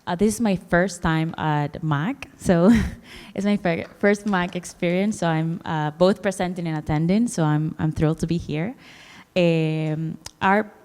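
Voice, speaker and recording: A woman's voice: lowish voice, a little slow, a little mellow, long reverb tail. A woman from the Dominican Republic giving a conference presentation, hesitant, with many fillers and restarts. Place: conference hall.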